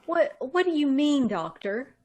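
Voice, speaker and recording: A woman's voice: high voice, sing-song, measured pace, darkish tone. An actress playing a secretive, vain young woman in an old-time radio drama, with a theatrical, hesitant delivery. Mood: bewildered.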